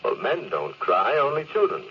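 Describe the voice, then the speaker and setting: An actor playing a proud, ambitious, emotionally rigid space officer in a 1950s radio drama, with a self-important, dismissive manner. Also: male voice, mid-range voice, sing-song, normal speed, lightly acted. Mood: defensive. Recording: dull, faintly noisy vintage radio recording.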